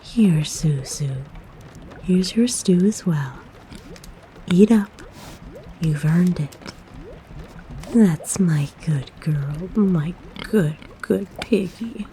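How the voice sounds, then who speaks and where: A mellow, unhurried forest witch, acted by a woman, speaks softly and intimately, as if to a guest at her side. She is a nurturing, flirty healer, with a low, breathy voice made for ASMR roleplay. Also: lively intonation, full character voice, low-quality recording. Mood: fond, doting. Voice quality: soft, breathy, whispery. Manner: gentle, coaxing, intimate. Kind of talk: ASMR roleplay, soft-spoken to the listener.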